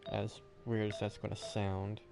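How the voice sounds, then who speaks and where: A man's voice: lowish voice, completely flat delivery, measured pace, vocal fry, over music. A man streaming a game in casual chatter, muttering as he thinks aloud.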